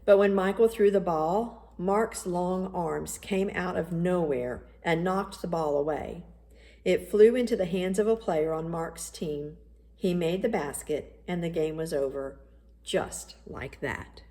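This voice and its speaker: A woman reading a children's storybook aloud as an expressive narrator. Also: lowish voice, unhurried. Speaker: audiobook reader.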